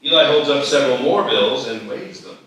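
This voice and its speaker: A man's voice: mid-range voice, a little fast, a bit bright, recorded from a distance. A man reading screenplay stage directions as narrator at a live table read. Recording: very echoey room, off the mic.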